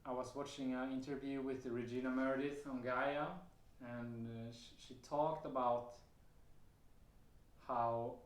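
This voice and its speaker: Slow, hesitant man with a Swedish accent. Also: medium pitch, flat delivery. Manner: hesitant, many fillers and restarts, occasional soft laughs. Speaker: vlogger sharing personal experience. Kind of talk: casual personal testimony to camera. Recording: roomy echo.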